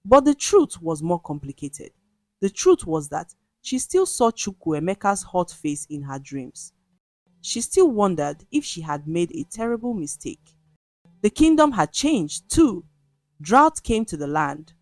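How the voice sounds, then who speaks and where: A woman storyteller reading a scripted folktale in a dramatic, measured way. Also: on the low side, very animated, a little mellow, no room sound.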